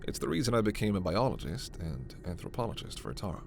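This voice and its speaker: A man playing a gentle, earnest alien scientist in a sci-fi ASMR roleplay, speaking in a measured, hesitant, soft way. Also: lowish voice, big pitch swings, vocal fry, background noise. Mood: earnest, wistful. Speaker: voice actor.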